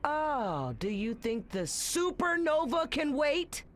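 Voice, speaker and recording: A man playing an eager, plucky teenage boy who leads a crew of cadets in an animated game. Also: extremely high pitch, slow, a little hiss or hum, exaggerated voice. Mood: urgent.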